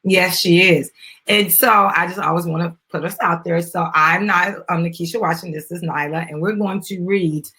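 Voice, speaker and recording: A woman's voice: fairly low pitch, fairly even intonation, normal speed, bright, forceful tone, husky. An American woman chatting informally to viewers as she hosts a casual live video and promotes a children's book. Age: adult, mother of a young child. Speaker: live stream host, business founder.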